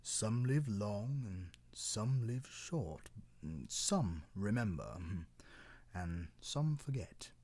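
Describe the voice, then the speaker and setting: A man voicing a very old, wise male cedar tree with a deep, gentle, slow, matter-of-fact manner. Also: very animated, slightly rough, dry recording, full character voice.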